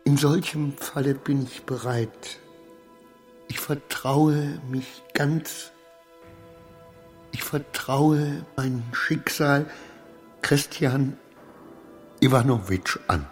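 Slow, haunted, anxious clerk. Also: male voice, mid-range voice, soft background music. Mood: nervously submissive. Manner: halting, haunted, often dropping to a whisper. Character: anxious, paranoid male government clerk losing his mind. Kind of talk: literary radio play acting.

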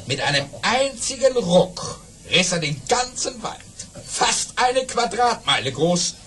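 A boastful storytelling baron in a children's radio play. His pitch leaps and plunges dramatically. He stretches pauses and throws in hesitant fillers to relish his tall tales. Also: sing-song, a bit bright, full character voice. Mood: mysterious, boastful. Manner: theatrical, savouring pauses, hesitant fillers for effect.

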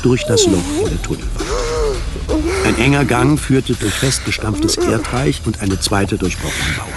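Male radio drama narrator over loud music. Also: mid-range voice.

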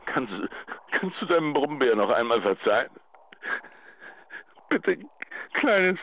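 An actor playing a remorseful, clingy husband who stammers through a pleading answering machine message in a radio drama. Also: male voice, mid-range voice, sing-song, a little hiss or hum. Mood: pleading, tender. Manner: halting, stammering. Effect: telephone answering machine filter. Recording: tinny narrow phone-line sound.

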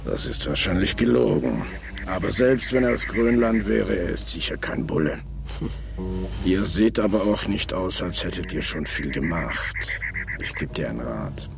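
An actor playing a very fat, menacing gun dealer in a radio crime drama, taunting and drawling. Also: male voice, low voice, big pitch swings, moderate pace, creaky, over music, full character voice. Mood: menacing advice.

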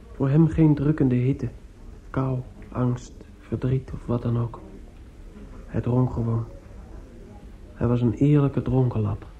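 A man's voice: mid-range voice, dark tone, lightly acted. An actor in a Dutch radio play as a troubled young man, speaking in a pensive, hesitant and formal way. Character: troubled, brooding young man, drinking early, polite but insistent. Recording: muffled old radio recording with faint noise.

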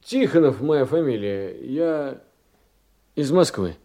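An actor playing a polite, tactful police detective with a measured manner in a radio play. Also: male voice, mid-range voice, expressive, mellow. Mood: courteous. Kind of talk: naturalistic radio play acting.

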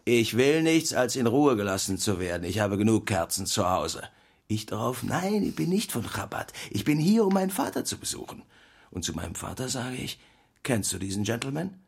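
Animated, husky storytelling husband. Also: male voice, medium pitch, normal speed, full character voice. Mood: animated, amazed. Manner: theatrical anecdote telling with quoted dialogue. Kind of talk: radio play storytelling.

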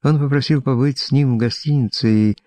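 A man's voice: medium pitch, a little fast, dark tone, no room sound, close to an everyday voice. A man reading as an uneasy, hesitant young woman. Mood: uneasy, frightened. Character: frightened young woman on the telephone, polite, uneasy. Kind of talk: audiobook character dialogue.

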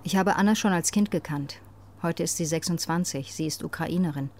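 A woman from Russia narrating a documentary in calm, even storytelling. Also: lowish voice, brisk.

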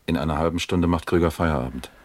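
An actor playing a wry, unhurried police captain in a German radio crime drama, with a dry, matter-of-fact delivery. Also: male voice, rumbling low, fairly even intonation. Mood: reflective, dry.

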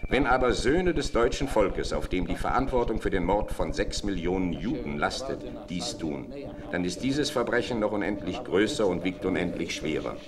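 An actor playing a grave head of government, giving a formal statement in solemn oratory. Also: male voice, sits low, animated, moderate pace, a crowd in the background. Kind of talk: radio drama acting.

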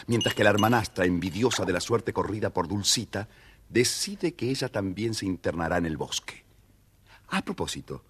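A man narrating a children's storybook in an expressive, theatrical way. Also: lowish voice, breathy edge.

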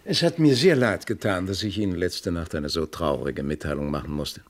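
An actor playing a courteous, businesslike police inspector in a radio crime drama, formal and terse. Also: male voice, low voice, normal speed, close to an everyday voice. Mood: polite, probing.

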